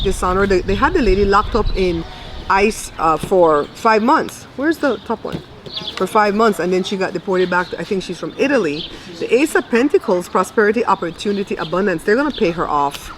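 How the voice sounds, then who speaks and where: A middle-aged woman reading tarot on camera in a casual, colloquial, teasing way. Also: medium pitch. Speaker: tarot reader and video host. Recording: noisy outdoor recording with animal sounds.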